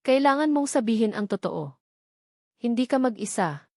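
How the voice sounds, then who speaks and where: A woman's voice: a little high, expressive, moderate pace, mellow, dry recording, clean studio sound. A woman voicing a worried, supportive female friend in a story, earnest and urging. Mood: concerned urging.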